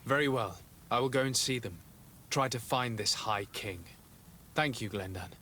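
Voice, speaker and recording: An actor playing a courteous, earnest adventurer and envoy in a fantasy game, speaking formally and with composure. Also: male voice, medium pitch, moderate pace, sharp tone, slight background noise, lightly acted. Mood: polite, composed. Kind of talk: fantasy video game cutscene acting.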